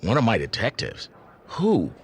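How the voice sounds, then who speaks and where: An actor playing a stern, weary, authoritative police chief in an audio drama, firm and no-nonsense. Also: male voice, fairly low pitch, huge pitch swings, measured pace, a little hiss or hum, full character voice. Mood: concerned.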